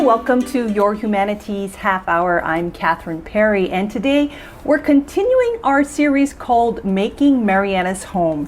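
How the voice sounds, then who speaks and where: A woman's voice: medium pitch, a little hiss or hum. Woman hosting a humanities show. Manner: friendly, asks clear questions. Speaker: host. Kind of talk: radio interview hosting.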